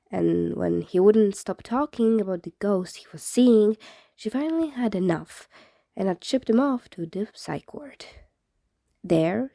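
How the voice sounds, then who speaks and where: Mellow woman reading a story, close and dry. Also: medium pitch, no echo. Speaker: fan fiction podfic reader. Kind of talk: scripted narration, audiobook-style story reading.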